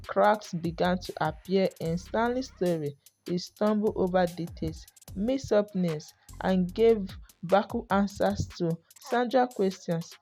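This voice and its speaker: Female folktale narrator, somewhat low and mellow, reading scripted story over music.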